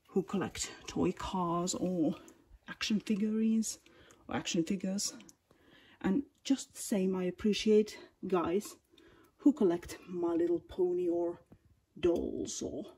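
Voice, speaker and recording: Finnish woman, slow soft half-whispered tour, raspy creaky voice, lots of ums. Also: mid-range voice. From Finland. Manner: soft, often whispery, unhurried, many ums. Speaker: collector giving a tour. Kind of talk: casual narrated collection tour. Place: indoors at display cabinets at home.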